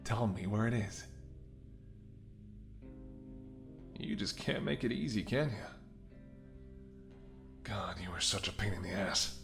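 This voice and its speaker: A man's voice: fairly low pitch, lively intonation, normal speed, vocal fry, fairly bright tone, faint music behind. A man playing a menacing, smirking mercenary ex-bodyguard in an audio roleplay, with a taunting, sardonic way of talking. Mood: exasperated. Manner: taunting, sardonic, swearing, drops to whispers. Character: menacing, smirking male mercenary ex-bodyguard holding someone at gunpoint. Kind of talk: dramatic audio roleplay spoken to the listener.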